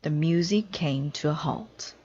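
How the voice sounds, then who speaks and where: A woman's voice: low voice, measured pace, warm tone, husky, lo-fi sound. A woman narrating a children's storybook aloud as a reader.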